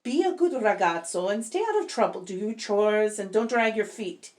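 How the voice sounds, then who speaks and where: A woman playing an old village witch, calm, firm, motherly, storybook reading. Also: mid-range voice, moderate pace, close to an everyday voice.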